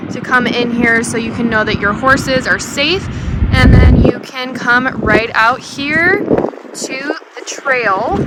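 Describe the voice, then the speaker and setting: A woman with a fairly high voice co-presents a casual outdoor property tour as a real estate agent. The recording is very noisy, with loud ambient sound around her. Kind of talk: casual walk-through tour narration. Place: outdoors at an equestrian ranch trail.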